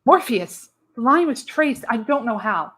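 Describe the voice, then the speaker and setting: A woman's voice: on the high side, expressive, a little slow, a bit bright, pitched above the performer's own voice. A woman playing a tense, determined fugitive on the phone in an amateur radio-play reading. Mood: tense, urgent.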